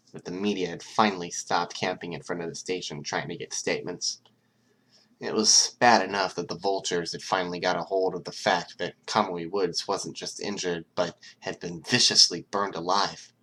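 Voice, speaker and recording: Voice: sits low, fairly bright tone, husky, poor audio. A narrator reading scripted fiction aloud as an audiobook.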